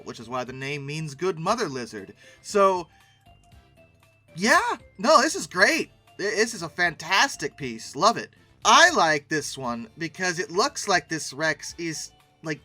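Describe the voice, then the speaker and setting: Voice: mid-range voice, sharp tone. A host giving casual, humorous commentary on pictures, with wry, exasperated asides and dramatic emphasis.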